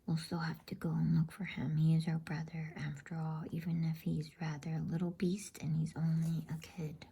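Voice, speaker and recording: A woman voicing an earnest, loyal schoolboy from a bedtime storybook in a breathy whisper. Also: deep voice, level tone, normal speed, mellow, heavily acted. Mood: upset, resolute. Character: earnest, loyal schoolboy, protective older brother.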